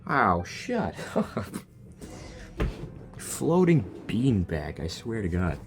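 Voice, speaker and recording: A man's voice: fairly low pitch, huge pitch swings, drawn-out words. A man doing casual, blunt and joking reaction commentary as he plays a video game. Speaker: gaming video creator. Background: faint game music.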